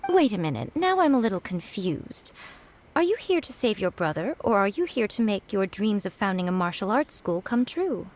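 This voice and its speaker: A woman voicing a sly, teasing shrine priestess in a video game, amused and unhurried. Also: mid-range voice, sing-song, darkish tone, natural voice.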